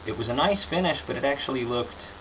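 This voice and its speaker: A man casually explaining guitar repair work in a halting, unscripted, plain-spoken way, as a craftsman hosting his own demonstration. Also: mid-range voice, expressive. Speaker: guitar repairer and restorer, host. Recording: dull lo-fi sound with hum and noise.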